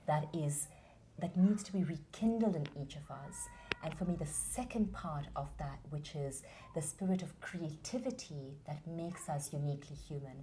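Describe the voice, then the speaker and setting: A woman from India, giving earnest, measured and passionate answers in an interview. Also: deep voice, slight background noise. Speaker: interview guest, founder of a theatre academy.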